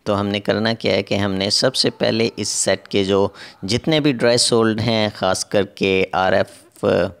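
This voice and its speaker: A man's voice: lowish voice, steady tone, a little slow, creaky. A man narrating a step-by-step repair tutorial as an instructor, in a methodical way with repetitive phrasing.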